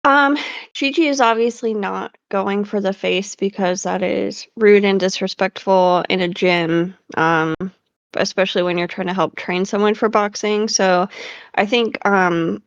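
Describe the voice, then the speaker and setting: A woman's voice: mid-range voice, steady tone, unhurried, no room sound. A woman playing a tabletop roleplaying game, casually narrating and discussing her character's moves, on lo-fi audio.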